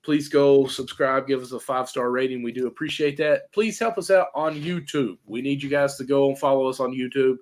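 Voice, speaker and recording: A man co-hosting a casual sports podcast, hoarse and congested, rambling and restarting his thoughts. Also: mid-range voice, steady tone, fairly quick, few pauses. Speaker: podcast co-host.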